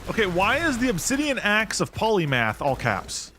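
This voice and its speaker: A male streamer talks casually over his gameplay, rambling and thinking aloud as he answers chat. His pitch swings widely from line to line, and a steady hiss of noise sits behind the recording. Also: normal speed. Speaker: streamer playing a game.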